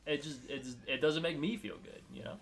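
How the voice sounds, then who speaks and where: A man's voice: fairly high pitch, fairly even intonation, a little fast. A young man from Miami chatting casually as a co-host, rambling with lots of filler words and restarts. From Miami, United States. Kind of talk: casual chat.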